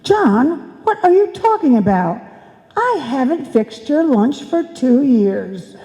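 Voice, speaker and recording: A woman's voice: high-pitched, big pitch swings, slow, mellow, exaggerated voice. A woman playing a nagging, fussing wife in a live old-time radio comedy, with theatrical scolding exclamations. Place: indoor stage before a live audience. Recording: live stage performance in reverberant hall.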